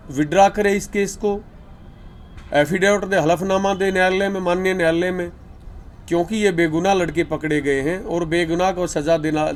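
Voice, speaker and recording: A man with a high voice and a Haryanvi accent speaks as a farmers' activist, making an appeal to the government. He talks deliberately in short emphatic phrases, with faint noise behind him at an on-location news recording. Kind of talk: spoken appeal to the government through media.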